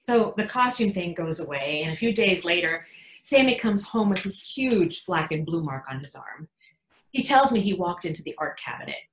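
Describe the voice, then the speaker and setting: A woman playing an anxious, protective mother in a frank, wry, confiding dramatic monologue, pausing for emphasis. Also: on the low side, animated, close to an everyday voice. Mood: worried. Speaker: actor in a readers theatre performance. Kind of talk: dramatic stage monologue, conversational storytelling. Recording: echoey room sound.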